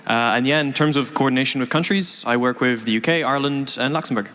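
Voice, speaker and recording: Man from France, panelist, bright voice, hall reverb. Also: mid-range voice, fast. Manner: relaxed, casual with fillers. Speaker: board member on a panel. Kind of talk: panel introduction.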